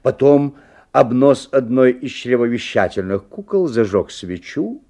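An actor playing a bemused, observant father who narrates a magical story in a radio drama, with measured, expressive storytelling. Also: medium pitch. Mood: intrigued.

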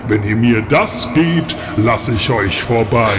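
Slow, booming Saint Nicholas, acted by a man. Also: expressive. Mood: bargaining. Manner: slow, imposing, deliberate. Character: stern, ominous Saint Nicholas, a dark stranger demanding a bargain. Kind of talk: improvised audio play. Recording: long booming reverb, clear background noise.

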